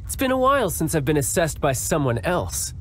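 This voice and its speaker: An actor playing a suave, smug young fighter in a video game cutscene, talking in a smooth, mocking, politely condescending way. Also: male voice, fairly high pitch, sing-song, fairly quick, slight background noise.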